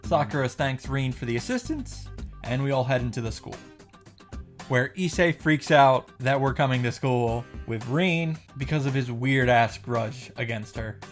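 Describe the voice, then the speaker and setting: A man's voice: medium pitch, expressive, normal speed. A man giving a casual, opinionated story recap and commentary, with emphatic, sarcastic asides. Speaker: video creator recapping a visual novel. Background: background music.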